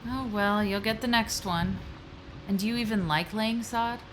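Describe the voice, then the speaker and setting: A woman's voice: mid-range voice, normal speed, a little hiss or hum, close to an everyday voice, low-quality recording. An actress playing a conflicted, evasive wife and mother in a musical audio drama, speaking in a hesitant, dry, guarded way. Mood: defensive.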